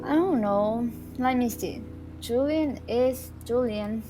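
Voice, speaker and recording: A woman's voice: a little high, slow, over music. A young woman playing a cautious, anxious seventeen-year-old girl in a student audio drama, hesitant and defensive. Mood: guarded.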